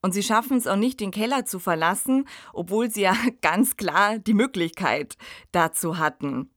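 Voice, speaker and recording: A woman's voice: mid-range voice, animated, normal speed, a bit bright, dry recording. Woman co-host, dry close sound. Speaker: podcast co-host discussing radio plays and books. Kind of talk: conversational literary discussion.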